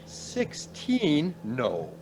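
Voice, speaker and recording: An actor playing a polite, gentle middle-aged professor in a radio play. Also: male voice, on the high side, huge pitch swings, very slow, slight background noise, full character voice. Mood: friendly, curious.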